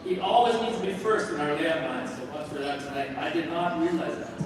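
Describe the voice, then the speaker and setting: A man's voice: on the high side, animated, a little fast, noisy background. A man, a head basketball coach giving an informal, joking senior night speech full of "uh" fillers over a PA microphone in a large school gym. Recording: echoey gym from far off with crowd.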